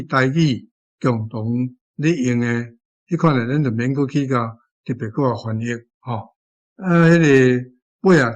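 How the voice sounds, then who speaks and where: A man with a Taiwanese accent teaching informally, conversational and repeating key phrases as he explains. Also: mid-range voice.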